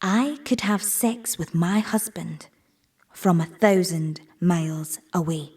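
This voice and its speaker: A woman playing a married tech speaker in an audio drama, giving a measured, persuasive presentation. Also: lowish voice, darkish tone, slightly rough, far from the mic, exaggerated voice. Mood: personal, persuasive. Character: married tech-industry woman pitching virtual reality to an audience. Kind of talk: scripted audio drama monologue, public presentation.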